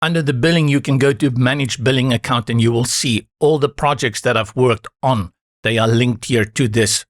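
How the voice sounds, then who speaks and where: A man whose first language is Afrikaans, calmly guiding viewers step by step through a software setup in a screen-recorded tutorial. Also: mid-range voice, normal speed, no echo, clean studio sound. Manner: calm, instructive, reassuring asides.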